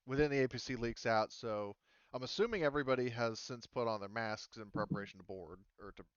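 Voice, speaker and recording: A man running a tabletop roleplaying game as game master, casual and halting as he thinks aloud. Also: mid-range voice, clear, resonant tone, no room sound, highs cut off.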